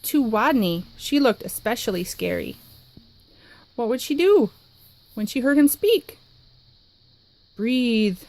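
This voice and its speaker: A woman reading a children's picture book aloud in an expressive, lively way. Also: on the high side, no echo, low-quality recording. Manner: expressive, lively, dramatic pauses. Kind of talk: storybook read-aloud.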